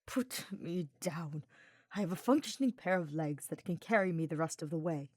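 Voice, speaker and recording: Woman doing a snarling, prickly male dark mage. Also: fairly low pitch, lively intonation, moderate pace, no echo. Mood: curt. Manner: formal, curt, snarling.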